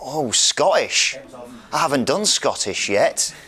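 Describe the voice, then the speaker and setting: A woman hosting a playful game-show quiz, animated and theatrical in her delivery. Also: a bass voice, takes it slowly, soft background music. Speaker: quiz host and quote reader.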